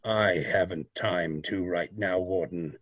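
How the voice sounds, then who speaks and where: A man playing a mysterious, coolly accusing vigilante with measured, formal, sardonic delivery in old-time radio drama style. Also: deep voice, a bit bright, no echo, full character voice.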